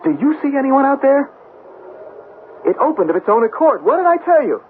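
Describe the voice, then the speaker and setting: An actor playing a superstitious, eager man fascinated by spirits, excitable and halting in a suspenseful 1970s radio drama. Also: extremely high pitch. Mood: awed, excited. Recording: noisy, narrow-band vintage radio broadcast.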